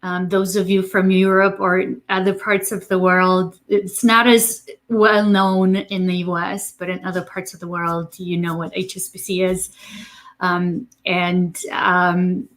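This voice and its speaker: A woman from Romania speaking as an interview guest, conversational and a bit halting as she repeats words while thinking. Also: on the low side, flat delivery, a bit bright. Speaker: interview guest, digital marketing agency president.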